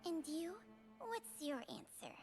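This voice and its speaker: Game role: wry, world-weary female spirit, slow theatrical delivery, high voice with music underneath. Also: very animated, full character voice. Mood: weary, resigned. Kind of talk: video game character dialogue.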